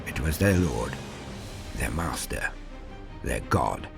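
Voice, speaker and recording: A man reading a scripted fantasy war story in a grave, theatrical, deliberate way. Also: very deep voice, very animated, vocal fry, over music.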